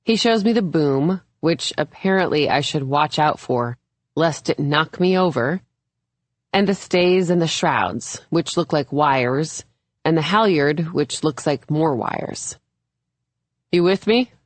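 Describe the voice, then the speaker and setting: A woman narrates a first-person thriller audiobook in a low voice. The recording is dry and close, with the scripted flow of a novel being read. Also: takes it slowly, no echo.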